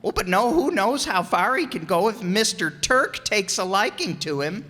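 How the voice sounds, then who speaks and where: Echoey hall, a woman as eager naive teenage boy, earnest and chatty, swooping pitch, old-time radio comedy. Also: very animated, normal speed, fairly bright tone. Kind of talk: 1940s radio comedy acting. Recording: very echoey hall stage recording.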